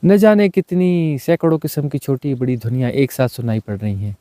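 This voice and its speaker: A man leading a guided meditation as a teacher, speaking in unhurried, short, repeated phrases and mixing in some English words. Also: medium pitch, warm tone, no room sound. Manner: mixes English words into Hindi.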